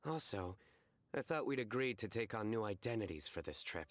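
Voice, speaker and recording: A man playing a stern, deadpan young traveler in an anime-style game, serious and terse even in play. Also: lowish voice, brisk, dry recording, lightly acted. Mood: mildly reproachful.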